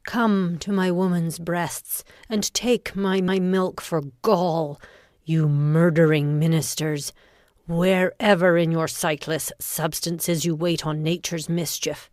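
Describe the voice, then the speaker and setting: A woman playing a ruthless, ambitious noblewoman in a deliberate, intense Shakespearean audiobook performance. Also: fairly low pitch, steady tone, darkish tone, no echo, studio quality. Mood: dark invocation. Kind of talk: dramatic Shakespearean audiobook reading.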